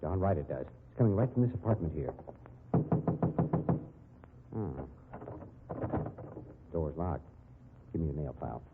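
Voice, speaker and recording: An actor playing a brash, wisecracking private detective, blunt but caring, in 1950s radio drama style on an old muffled recording. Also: male voice, very deep voice, lively intonation, measured pace, pauses often, heavy background noise, exaggerated voice. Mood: urgent. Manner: slangy, blunt, needling.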